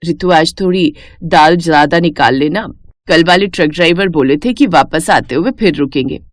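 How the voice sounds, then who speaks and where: A woman voicing a humble, caring forty-year-old village mother who speaks in a gentle, homely, respectful way in a cartoon story. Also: sits low, moderate pace, dry recording, pitched below the performer's own voice, natural voice. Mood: worried, motherly.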